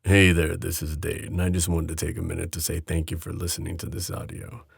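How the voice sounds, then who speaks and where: A man's voice: low voice, flat delivery, a little fast, creaky, husky, dry recording, clean studio sound. A man speaking casually as an audio creator, giving a channel outro to his listeners.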